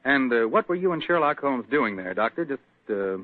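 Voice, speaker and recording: A man's voice: medium pitch, very animated, a little fast, dry recording, narrow, tinny audio. An American man working as a radio announcer and sponsor spokesman, in a folksy 1940s broadcast style.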